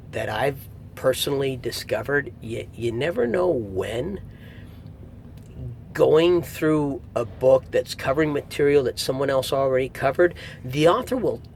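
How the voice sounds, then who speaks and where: A middle-aged man giving a casual spoken review in a conversational, emphatic way, pausing for effect. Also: gravelly. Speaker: audiobook reviewer. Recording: faint low rumble, like vehicle noise.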